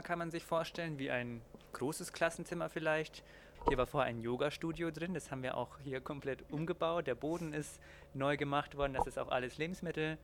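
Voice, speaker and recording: A man in his twenties, a master confectioner, calmly explaining how his small chocolate workshop works. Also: mid-range voice, a little hiss or hum. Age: 20s. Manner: calm, steady explaining with occasional fillers.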